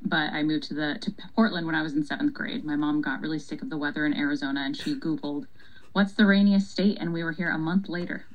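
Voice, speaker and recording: A woman's voice: low-pitched, fairly even intonation, fast, poor audio. A young woman from Paraguay, a city council member, answering interview questions in a thoughtful, conversational way with frequent ums and easy laughs.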